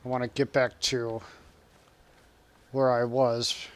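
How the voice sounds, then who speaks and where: A man's voice: mid-range voice, flat delivery, slow, clean tone. A man streaming a video game from home, chatting casually, reading out chat messages and laughing often. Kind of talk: casual live-stream chat over gameplay.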